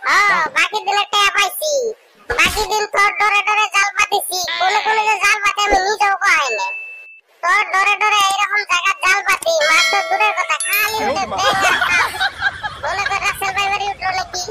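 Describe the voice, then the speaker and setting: A man voicing an aggrieved, sarcastic village fisherman in a comic cartoon, with a rural Bengali dialect and a pitch-raised squeaky voice. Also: steady tone, brisk, a crowd in the background. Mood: wary, complaining. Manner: complaining, scolding, sarcastic. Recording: loud background noise.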